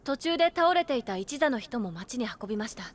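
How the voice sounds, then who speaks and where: An actress playing a composed, gentle young female healer, speaking formally and courteously in an anime game style. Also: fairly high pitch, lively intonation, a little fast. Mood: calm, reassuring.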